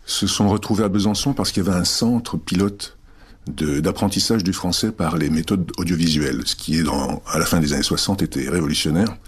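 A middle-aged man with a very low, husky voice, a native Czech speaker talking in a halting way. He reflects slowly, pausing often and hesitating between thoughts as he answers in an interview. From France. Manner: halting, many pauses and hesitations. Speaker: guest.

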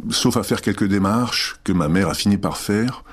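A man in his fifties speaks in a very low, husky voice. He answers an interviewer slowly and hesitantly, full of fillers and restarts. He is from Czechoslovakia, raised in France. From born in Czechoslovakia, raised in France. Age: 50s. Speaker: guest.

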